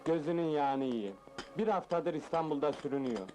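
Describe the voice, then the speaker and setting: A man's voice: on the high side, lively intonation, a little fast, a little mellow, soft background music, lightly acted, poor audio. An actor playing a plain-spoken, pleading man from the provinces in a comedy film, talking colloquially and plaintively. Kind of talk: Turkish comedy film acting.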